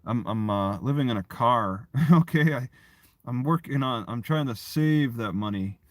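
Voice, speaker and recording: Male streamer, mid-pitched, casual and halting, repeats himself as he rambles, very dry sound. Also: animated, no echo. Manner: halting, repeats and restarts phrases. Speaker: live streamer. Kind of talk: casual rambling livestream chat.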